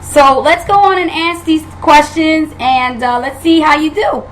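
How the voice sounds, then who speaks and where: A woman's voice: sits high, unhurried, a little hiss or hum, poor audio. A woman hosting an interview show, enthusiastic and familiar with her guest. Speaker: talk show host.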